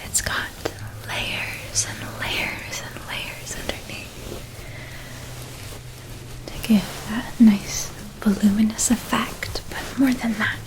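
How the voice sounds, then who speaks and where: A nurturing witch, played by a woman, speaks entirely in a soft, breathy whisper. She takes her time, doting and unhurried, lingering on each small detail. It is intimate ASMR roleplay, gentle from start to end. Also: fairly high pitch, steady tone, low-quality recording. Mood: gentle, caring. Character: gentle, nurturing witch helping a young student pack.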